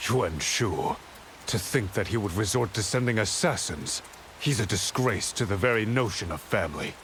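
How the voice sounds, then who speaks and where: A man's voice: lowish voice, animated, normal speed, a little hiss or hum. An actor playing a proud, magnanimous nobleman warlord in a video game cutscene, with formal, grandiose, courtly speech. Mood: stern. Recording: loud background music.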